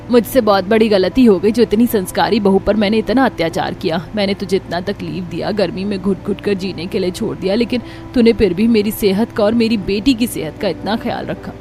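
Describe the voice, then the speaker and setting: A woman's voice: mid-range voice, a little fast, darkish tone, a little hiss or hum, close to an everyday voice. A woman voicing a stern, bossy middle-aged mother-in-law in a cartoon story. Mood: remorseful. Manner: stern, commanding.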